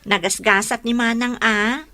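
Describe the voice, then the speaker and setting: Radio drama in-law: envious gossip, Ilocano accent, high sharp voice. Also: female voice, steady tone, unhurried. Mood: envious, chatty.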